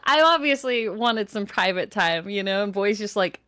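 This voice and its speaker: A woman's voice: medium pitch, lively intonation, normal speed, a bit bright, no room sound. A woman chatting casually as a voice actor guest, giggly, playful and blunt. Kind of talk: casual chat, answering interview questions.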